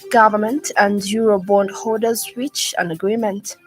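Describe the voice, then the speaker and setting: Lady reading the evening radio news, formal, normal pitch. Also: steady tone, a little slow, soft background music, low-quality recording.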